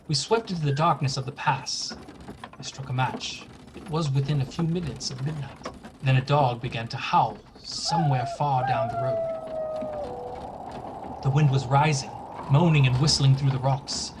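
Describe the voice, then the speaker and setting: A man's voice: medium pitch, background noise, natural voice. An actor playing an earnest, anxious young lawyer's clerk narrating his journal in a radio horror play. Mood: mounting dread. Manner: earnest, dramatic, apprehensive.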